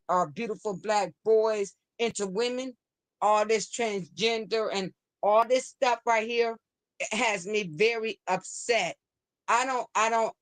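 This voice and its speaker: A woman's voice: medium pitch, dry recording. A woman calling into a livestream, speaking hesitantly with many restarts and fillers. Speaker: livestream caller. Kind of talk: call-in commentary. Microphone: remote call into a streaming studio.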